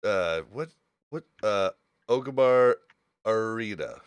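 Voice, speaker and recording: A man with a fairly deep voice hosts a relaxed gaming conversation. The sound is dry, with little room on it, and his explanations come out informal and rambling. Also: takes it slowly, clear, resonant tone, no echo. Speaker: podcast host. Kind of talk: casual gaming podcast chat.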